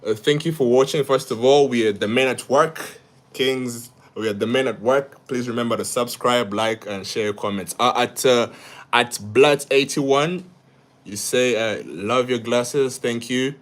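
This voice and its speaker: Halting man hosting a live stream. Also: mid-range voice. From Uganda. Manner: halting, repeats words and restarts often; asks "yeah?" after each point. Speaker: livestream host. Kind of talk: live stream talk reading comments.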